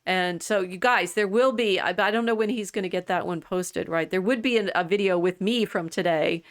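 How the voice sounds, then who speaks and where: A woman's voice: fairly low pitch, moderate pace. Rambling woman in her sixties. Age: 60s. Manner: rambling, restarts often, laughs easily. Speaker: podcast host. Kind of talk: casual chat.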